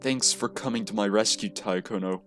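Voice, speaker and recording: A man's voice: on the low side, moderate pace, fairly bright tone, soft background music, close to an everyday voice. A man voicing a sardonic, short-fused teenage schoolboy in a visual novel, blunt and sarcastic. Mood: teasing.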